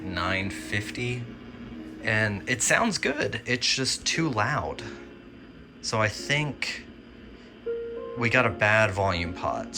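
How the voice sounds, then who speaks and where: A man giving casual, informal commentary on a repair as a radio restorer, thinking aloud as he works. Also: deep voice.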